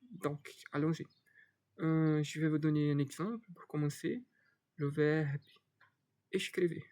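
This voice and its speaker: Brazilian male tutor, young adult, Brazilian Portuguese accent, slightly high voice, slow and hesitant delivery. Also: fairly even intonation, dark tone. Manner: hesitant, many fillers and restarts. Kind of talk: unscripted language lesson.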